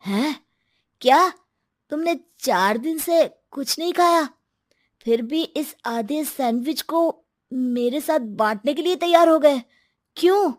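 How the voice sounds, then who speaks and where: A woman voicing a spoiled, careless rich young man in an animated story, with a casual, dismissive way of talking. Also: high-pitched, slow. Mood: astonished.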